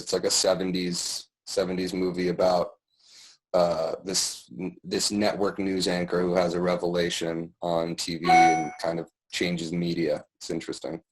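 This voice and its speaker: A man in his thirties with a very low, deep voice answers interview questions. He talks casually and pauses to think, with plenty of fillers and restarts along the way. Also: poor audio. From born in London, raised in Paris and New York. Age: 30s. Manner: hesitant and thoughtful, many fillers and restarts. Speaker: guest, music producer and DJ.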